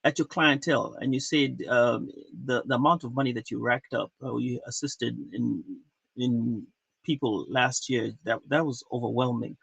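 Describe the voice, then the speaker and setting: Husky-voiced man hosting a podcast. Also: mid-range voice, moderate pace, a bit bright, no room sound, muffled recording. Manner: loose, conversational questioning. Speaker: podcast host. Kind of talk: interview conversation.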